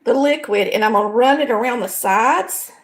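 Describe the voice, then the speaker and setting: A middle-aged woman with a raspy, hoarse voice and a Southern US accent, chatting folksily as she demonstrates a recipe step by step in her home kitchen. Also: a little high, moderate pace. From American South. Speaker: home cooking show host. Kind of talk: casual cooking demonstration.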